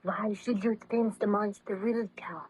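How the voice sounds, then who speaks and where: An actress playing an anxious, devoted wife with a husky, breathy voice, dramatic in old radio drama style. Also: female voice, medium pitch, fairly even intonation, unhurried, a little mellow, low-quality recording. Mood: concerned. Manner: dramatic, fretful, formal. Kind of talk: 1930s radio drama acting.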